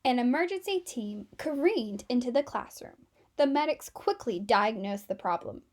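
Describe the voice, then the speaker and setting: A woman reading a children's book aloud as an expressive, scripted narrator. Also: fairly high pitch, moderate pace. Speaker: children's story reader.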